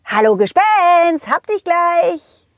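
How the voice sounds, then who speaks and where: A woman's voice: very high voice, sing-song, slow, dry recording, pitched above the performer's own voice, exaggerated voice. A woman voicing a confident, friendly dog hero in a children's audio story, eager, playful and reassuring. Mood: playful, excited.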